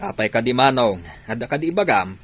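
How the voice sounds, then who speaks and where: A man's voice: mid-range voice, expressive, quick pace, a bit bright, dry recording. A man playing a teasing, reasoning village uncle with an Ilocano accent in a comedy radio drama.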